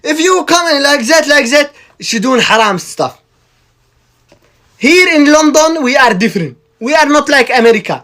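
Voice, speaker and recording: A man with a very high, bright voice performs a comic monologue. He speaks in broken phrases and repeats words over and over. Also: lively intonation, normal speed.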